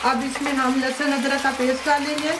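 A woman hosting a home cooking video, giving plain, unhurried step-by-step recipe instructions with short pauses between ingredients. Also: sits high, level tone, very noisy. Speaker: cooking show host. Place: home kitchen. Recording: echoey room with background music.

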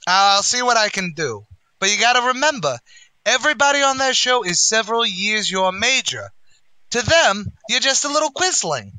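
A man plays a bluffing, boastful tavern manager in old-time radio comedy style. His voice is high and very sharp, swooping through folksy, mangled-grammar patter. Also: big pitch swings, moderate pace, smooth, no room sound, exaggerated voice, poor audio. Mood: scheming. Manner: folksy, ungrammatical, bluffing wise-guy delivery. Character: blustering, boastful, uneducated tavern manager uncle.

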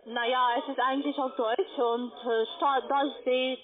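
A woman with a foreign accent works as a call centre agent. Her fairly high voice comes down a thin phone line. A clearly audible crowd chatters behind her. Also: little pitch movement, measured pace. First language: not German. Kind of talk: phone sales conversation.

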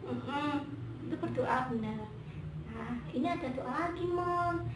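A young woman, teaching children in an animated, teacherly storytelling style in an echoey room. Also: sits high, measured pace. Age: young adult. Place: indoor room, plain wall, medium camera distance. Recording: echoey room, distant mic, faint noise.

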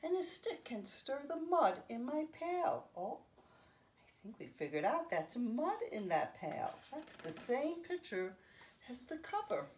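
A woman in her fifties reading a picture book aloud to young children, expressively, pausing to explain things simply. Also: sits high, very animated, darkish tone, a little hiss or hum. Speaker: children's story time host. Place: home living room, indoors.

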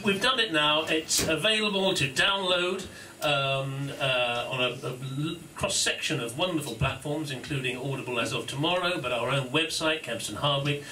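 A man's voice: fairly high pitch, sharp tone, a little hiss or hum. A man hosting a launch event in a pub, giving an informal, self-deprecating speech full of fillers and laughs. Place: pub function room. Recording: echoey room from far off.

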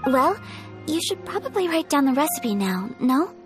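A gentle, polite young noblewoman. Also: high-pitched, very animated, normal speed. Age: young adult. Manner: soft-spoken, courteous, hesitant. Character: graceful, shy young noblewoman, polite and formal. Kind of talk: video game voice acting. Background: game music.

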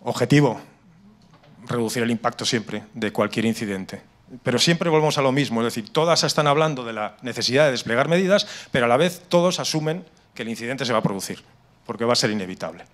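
A man's voice: medium pitch, lively intonation, fast talker. A middle-aged man with a Spanish accent from Spain gives an explanatory conference presentation into a handheld microphone, often restarting and repeating words. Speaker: conference speaker on cybersecurity. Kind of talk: live conference presentation with slides. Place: indoor conference room with seated audience.

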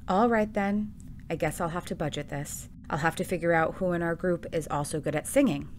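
A woman's voice: fairly low pitch, normal speed, close to an everyday voice. A woman playing a weary, skeptical hotel manager in a comedy audio drama, dry and unimpressed. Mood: resigned, dry.

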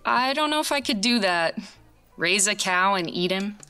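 Woman acting as polite young woman, uneasy, mid-pitched, scripted horror podcast storytelling. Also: expressive, normal speed, pushed and bright, clean tone, faint music behind. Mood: polite, reserved. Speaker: actress and narrator in a horror audio drama. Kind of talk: scripted audio drama, first-person narration and dialogue.

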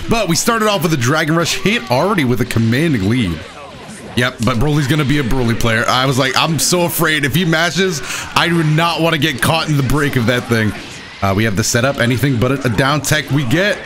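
A man hosting a gaming video with casual, excited, exclamatory and self-deprecating play-by-play commentary. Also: mid-range voice, normal speed, a crowd in the background. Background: game music behind the voice.

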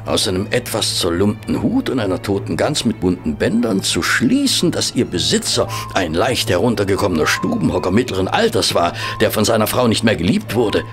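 An actor playing a loyal, easily amazed Victorian gentleman companion in an audio drama, speaking in a hesitant, musing, expressive way. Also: on the low side, brisk, few pauses, faint music behind. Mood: musing admiration. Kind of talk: German audio drama dialogue and narration.

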